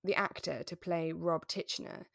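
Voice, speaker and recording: A woman chatting casually as co-host of a relaxed podcast. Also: on the low side, normal speed, gravelly, no echo, clean studio sound. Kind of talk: relaxed casual chat about a radio drama.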